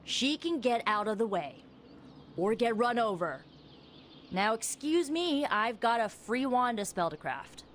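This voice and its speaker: Defiant young witch, very expressive. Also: a little high, unhurried, a little hiss or hum. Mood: defiant, sarcastic. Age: young adult. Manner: sarcastic, impassioned, colloquial. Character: defiant, confident young witch with a sarcastic edge. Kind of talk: dramatic video game acting.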